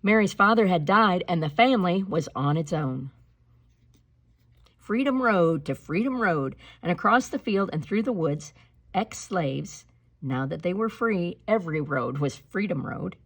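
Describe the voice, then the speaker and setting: A woman reading a storybook aloud like a teacher, with deliberate, expressive phrasing and instructive delivery. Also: on the low side, no room sound, clean studio sound. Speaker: teacher reading a picture book aloud to pupils.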